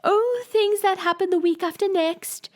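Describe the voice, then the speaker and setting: A woman's voice: very high voice, very animated, a little mellow, voice pushed up. A woman voicing a scatterbrained, fussy queen who flies into shrieking wails. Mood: airily knowing. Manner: fussy, shrieking, quick to wail.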